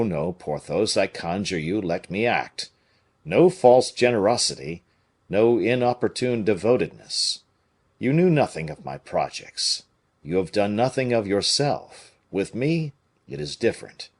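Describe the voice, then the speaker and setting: A man reading an audiobook, voicing a grave, measured conspirator bishop who speaks in a solemn, placating way. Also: on the low side, lively intonation, creaky, husky, full character voice. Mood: remorseful, pleading. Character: Aramis, grave, smooth-talking conspiring bishop.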